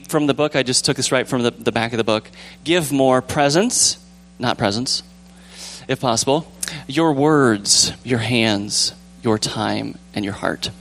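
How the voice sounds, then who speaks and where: A young man with a calm, relaxed voice leading a casual church discussion, rambling gently with fillers and restarts. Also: mid-range voice, a little hiss or hum. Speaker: church group leader. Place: church sanctuary with pews.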